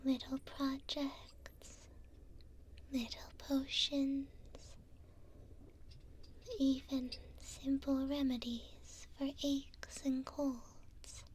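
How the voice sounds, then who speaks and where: A woman playing a gentle, hopeful imprisoned elf in an ASMR roleplay, speaking tenderly in a soft, breathy whisper close to the mic with pauses between short phrases. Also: sits high, little pitch movement. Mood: gentle, curious. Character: gentle, hopeful female elf prisoner in a dungeon. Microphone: close binaural microphone.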